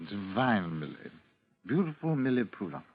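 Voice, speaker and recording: An actor playing a merry, amorous, drunken English gentleman with a drawling delivery in vintage radio horror style. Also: fairly low pitch, big pitch swings, normal speed. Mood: drunkenly amorous. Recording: muffled old radio recording.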